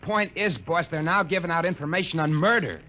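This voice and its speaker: A man's voice: a little high, sharp tone. An actor playing a breezy, slangy salesman in a 1950s radio drama. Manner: breezy, slangy, fast-talking. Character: fast-talking salesman and repairman. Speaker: logic salesman. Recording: old radio recording with faint noise.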